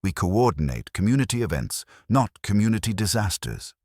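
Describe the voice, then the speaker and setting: A man's voice: lowish voice, big pitch swings, moderate pace, a little mellow, a little hiss or hum, pitched above the performer's own voice. A man voicing a composed, prim woman administrator with a formal, guarded manner in a storybook mystery. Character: composed, prim female tourist-board administrator.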